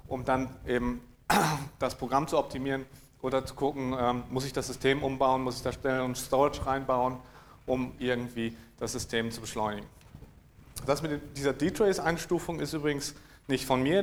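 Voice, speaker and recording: A man from northern Germany giving a technical conference talk, dry and hesitant, with many fillers and frequent throat clearing. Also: medium pitch, a little hiss or hum. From East Frisia, northern Germany. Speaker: conference speaker. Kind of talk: technical talk to a live audience.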